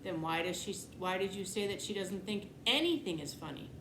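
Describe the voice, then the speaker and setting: A woman's voice: mid-range voice, animated, a little fast, close to an everyday voice. A woman reading the part of an earnest, blunt, literal-minded young boy in a children's storybook, matter-of-fact and insistent. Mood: questioning. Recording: echoey room, clear background noise.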